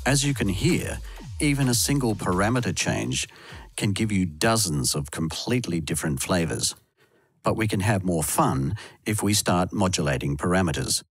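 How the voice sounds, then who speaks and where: A man reading scripted instructional narration for a software tutorial, close to the microphone. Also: deep voice, animated, moderate pace, breathy edge, no echo, faint music behind.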